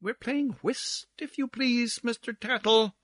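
A man's voice: very high-pitched, a little slow, fairly bright tone, dry recording, clean studio sound, pitched above the performer's own voice, heavily acted. A man voicing a gossipy, gushing society woman at cards in a storybook reading, with affected, exclamatory coyness. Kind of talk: theatrical storybook character voice.

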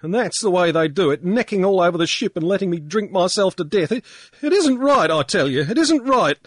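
A man voicing a self-pitying drunken young gentleman who blubbers and whines in a high, loud voice. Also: expressive, normal speed, dry recording, clean studio sound. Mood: blubbering. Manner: blubbering, sobbing and whining.

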